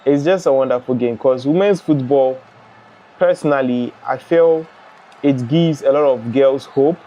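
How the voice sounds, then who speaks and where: A young man from Ghana hosting a live football watch-along, chatting and reading out viewer comments in a relaxed way. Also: medium pitch, unhurried, low-quality recording. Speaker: live stream host.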